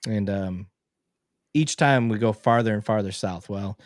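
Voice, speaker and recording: A middle-aged man hosting a podcast, curious and quick to ask questions and laugh. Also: fairly low pitch, no room sound, clean studio sound. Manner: curious, asks lots of questions, laughs easily. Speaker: podcast host. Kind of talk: casual chat.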